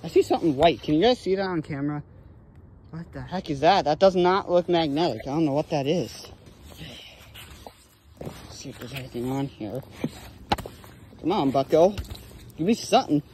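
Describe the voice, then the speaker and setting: A man's voice: on the high side, normal speed, pauses often, slight background noise. A young man vlogging while magnet fishing from a lake dock, exclaiming over each find in casual commentary. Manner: excitable, exclaims over finds. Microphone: handheld phone or body camera.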